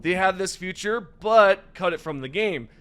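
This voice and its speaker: A young man hosting a gaming news video, chatty and enthusiastic, his voice slightly raspy today. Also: high voice, lively intonation, normal speed, fairly bright tone. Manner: enthusiastic, chatty, addresses viewers directly. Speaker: gaming channel host. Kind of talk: casual gaming news commentary over gameplay.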